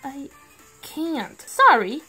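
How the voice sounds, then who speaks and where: A woman's voice: high-pitched, big pitch swings, very slow, pushed and bright, heavily acted. A woman voicing a timid, eager-to-please cartoon cup boy in an amateur comic dub, hesitant and apologetic. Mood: timid, hurt. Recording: background music under the voice.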